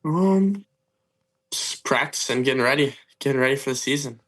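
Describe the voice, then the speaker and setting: A man's voice: medium pitch, normal speed, a bit bright. A man talking casually as a guest on a sports podcast.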